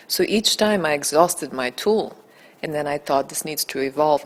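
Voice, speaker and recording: A native Bulgarian woman answering interview questions as a documentary director, earnest, deliberate and emphatic. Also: deep voice.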